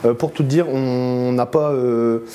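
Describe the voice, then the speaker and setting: A man's voice: medium pitch, fairly even intonation, unhurried, dark tone, a little hiss or hum. A man in his thirties with an accent from France, casually hosting an unscripted interview in a small indoor studio. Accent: French from France. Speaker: host of a local media show.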